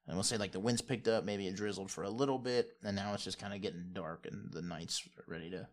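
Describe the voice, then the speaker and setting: A man's voice: lowish voice, quick pace. A man running a tabletop game with casual, improvised narration and chat. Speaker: game master.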